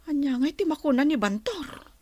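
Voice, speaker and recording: A woman's voice: high voice, animated, brisk, darkish tone, no room sound. A woman with an Ilocano Filipino accent playing a scheming pregnant wife who left her husband, in a radio drama. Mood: worried.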